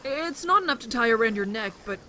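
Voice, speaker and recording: A woman playing a timid, kind teenage boy survivor in an audio drama, hesitant and gentle in manner. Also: on the high side, expressive, fast talker, slight background noise. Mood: gently reassuring.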